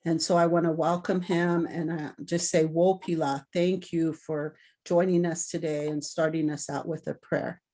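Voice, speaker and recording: A woman hosting a gathering, introducing guests in a soft-spoken, gently halting way. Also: sits low, flat delivery. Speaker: event host. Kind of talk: welcoming introductions.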